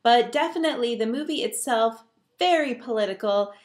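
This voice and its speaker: A woman's voice: fairly high pitch, animated, brisk. An American woman giving a casual movie review in a conversational, emphatic way, speaking as the host.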